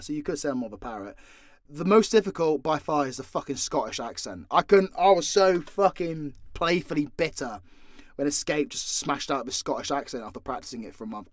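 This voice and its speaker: Higher-voiced southern English man, blunt and sweary. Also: fairly bright tone, dry recording, studio quality. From southern Britain. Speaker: audio story creator and voice actor. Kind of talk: casual, unscripted Q&A.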